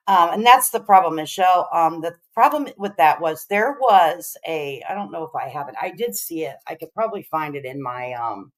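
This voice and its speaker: A woman hosting a livestream, giving casual, emphatic and opinionated commentary on a case as she talks to her viewers. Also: on the low side.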